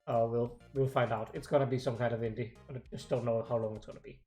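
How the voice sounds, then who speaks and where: Male streamer host, mid pitch, easygoing, rambling delivery, faint music underneath. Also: fast talker. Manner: relaxed, rambling with fillers. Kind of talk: casual stream chat with friends.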